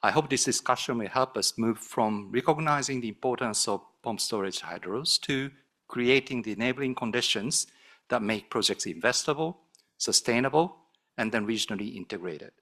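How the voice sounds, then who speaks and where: A man's voice: medium pitch, normal speed, a bit bright. A man delivering formal, scripted opening remarks at a conference before handing the floor to the moderator. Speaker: opening speaker.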